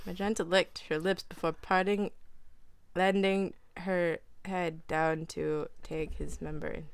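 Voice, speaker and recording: A woman's voice: fairly low pitch, flat delivery, a little slow, clear, resonant tone. A woman giving a mock-serious dramatic reading of fan fiction, theatrical and stumbling over words now and then.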